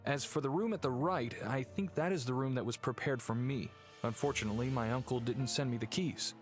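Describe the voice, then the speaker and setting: An actor playing a polite, serious gentleman heir in a video game, explaining things in a courteous, earnest way. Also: medium pitch, fairly quick, soft background music, lightly acted. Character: Sir Henry, polite, serious male heir of cursed family. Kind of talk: video game dialogue acting.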